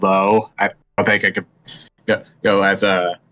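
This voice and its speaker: Low-voiced, hesitant man. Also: flat delivery, pushed and bright, slight background noise. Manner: slow, hesitant. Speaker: commentary panelist. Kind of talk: casual group chat.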